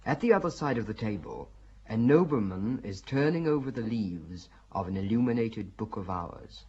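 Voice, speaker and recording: A man narrating the scene-setting for a radio drama in a measured, descriptive way. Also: on the low side, lively intonation, a little mellow, slightly rough, highs cut off.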